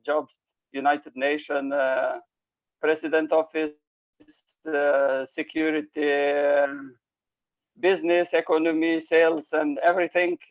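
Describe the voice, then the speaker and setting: Guy talking slowly on a video call, halting english, kinda high voice, dull sound. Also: little pitch movement, no room sound. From Albanian Icelandic, based in Norway. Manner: halting, broken phrasing in non-native English. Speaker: participant in a group coaching call. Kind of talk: hesitant, unscripted opinion sharing.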